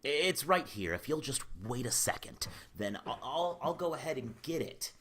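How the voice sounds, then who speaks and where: Man as male doctor, radio drama, sceptical, excitable, bright tense voice, very animated pitch. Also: normal speed, slight background noise, close to an everyday voice. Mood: nervous, polite. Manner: emphatic, excitable. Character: sceptical, hot-tempered male doctor, indignant and flustered. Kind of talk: vintage radio drama acting.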